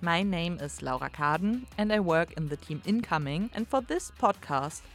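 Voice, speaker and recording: A woman's voice: lowish voice, animated, normal speed, faint music behind. A woman hosting a university podcast, interviewing a guest and explaining things in a friendly way. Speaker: podcast host.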